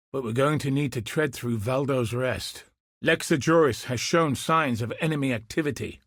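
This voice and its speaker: An actor playing a gruff, weary and wry veteran soldier and tracker in a video game, speaking in a measured, husky voice. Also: male voice, mid-range voice, fairly bright tone, no room sound, clean studio sound. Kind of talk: scripted video game dialogue.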